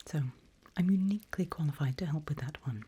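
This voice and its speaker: A woman whispering an ASMR roleplay as a seasoned demon mentor, slow and deliberate, with playfully sly menace, close to the microphone. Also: low-pitched, mellow, slight background noise.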